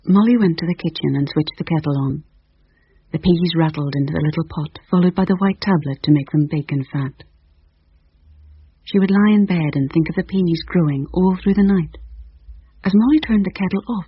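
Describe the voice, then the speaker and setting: Wry breathy male radio narrator. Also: on the high side, moderate pace, no room sound. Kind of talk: scripted radio drama narration. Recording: dry, dull radio recording.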